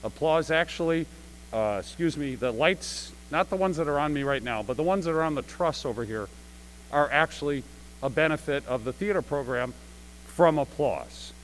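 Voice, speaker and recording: Higher-voiced man giving an informal welcome. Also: normal speed, poor audio. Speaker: theater director and producer introducing a show. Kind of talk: informal live welcome speech to an audience. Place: school gym stage, live-streamed. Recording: live gym event with faint hum.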